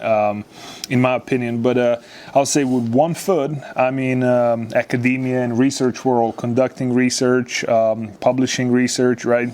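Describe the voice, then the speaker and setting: A man's voice: mid-range voice, a little mellow. A young man with a Serbian accent, a guest talking in a casual interview in a studio, with lots of fillers and easy laughter. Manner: chatty, many fillers, laughs easily. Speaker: basketball researcher, guest.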